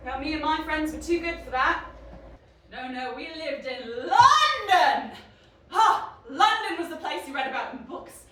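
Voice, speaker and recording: Young woman played by an actress, lively swooping delivery, sardonic, rambling, audio drama, distant from the mic. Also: high voice. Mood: wry, musing. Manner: wry, rambling, irreverent. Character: young, defiant, sardonic woman on drugs, recounting her own story. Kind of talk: dramatic first-person audio drama narration. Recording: echoey, distant, faint background noise.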